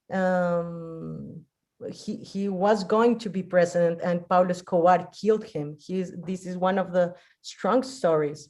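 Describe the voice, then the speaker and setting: A woman's voice: on the low side, a little mellow. A woman from Colombia with a Colombian Spanish accent, telling personal stories off the cuff and often searching for words in a second language. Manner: halting, searching for words in non-native English. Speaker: guest speaker. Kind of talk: unscripted personal storytelling.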